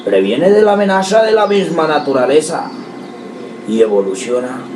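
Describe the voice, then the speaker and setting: A man reading dramatic scripted radio narration in a solemn, deliberate manner. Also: a little high, big pitch swings, a little mellow. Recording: echoey, distant, noisy amateur recording.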